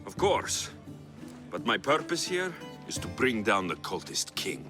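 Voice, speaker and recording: A man's voice: lowish voice, very animated, unhurried. A man playing a determined, defiant young Greek mercenary hero in a game cutscene, blunt and resolute. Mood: firm. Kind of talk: video game cutscene acting. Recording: loud music behind the voice.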